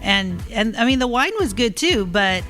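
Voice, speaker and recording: A woman telling stories as an interview guest, reflective and halting, often repeating words as she goes. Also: a little high, expressive, a bit bright, faint music behind. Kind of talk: casual interview storytelling.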